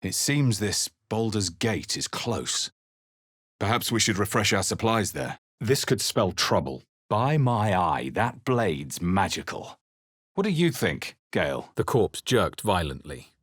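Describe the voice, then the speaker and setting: Low, husky brooding fantasy hero. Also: male voice, sing-song, a little slow, fairly bright tone, no echo, clean studio sound, full character voice. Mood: wary, musing. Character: male fantasy adventurer hero, brooding, wary, resolute. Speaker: player character voice. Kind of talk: scripted video game voice lines, dramatic narration.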